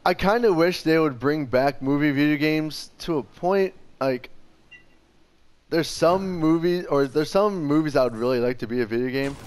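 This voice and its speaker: Young man, somewhat high-pitched, casual gaming chatter, slangy and sweary. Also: moderate pace, clear, resonant tone. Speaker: gaming show host. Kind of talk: casual chat while playing a video game.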